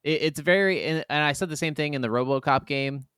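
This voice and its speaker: A man's voice: fairly high pitch, brisk, a bit bright, clear, resonant tone, no echo, clean studio sound. A man co-hosting a podcast and chatting casually as he reviews video games. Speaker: podcast co-host. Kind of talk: casual gaming review chat.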